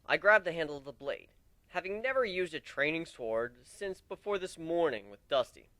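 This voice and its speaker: A man reads a fantasy story aloud as its narrator, in an amateur dramatic style. His voice is slightly high, and the recording is dry with no room sound. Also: expressive, moderate pace, bright, forceful tone, no room sound. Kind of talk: amateur dramatic story reading, first-person.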